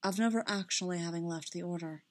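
A woman voicing a restrained, self-reproaching man in a story reading, with a quiet, measured, dryly formal manner. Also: lowish voice, lightly acted.

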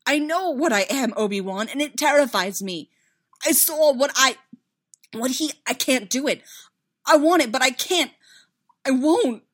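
A woman voicing an anguished, self-doubting young male Jedi in a story, impassioned and halting. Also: mid-range voice, fairly bright tone. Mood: frantic, terrified. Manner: impassioned, halting, insistent repetition.

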